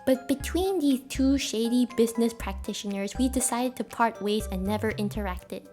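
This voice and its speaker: Woman w a highish voice doing jokey slangy craft voiceover, bit dramatic, soft music under it. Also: normal speed. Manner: tongue-in-cheek, slangy, theatrical. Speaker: craft video creator. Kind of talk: playful voice-over narration, mock doctor bit. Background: background music.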